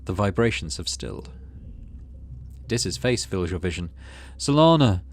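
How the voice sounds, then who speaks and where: A man's voice: low-pitched, a little slow, slight background noise. A man hosting a gaming channel, reading game narration aloud expressively and adding casual commentary. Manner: expressive storyteller reading with asides.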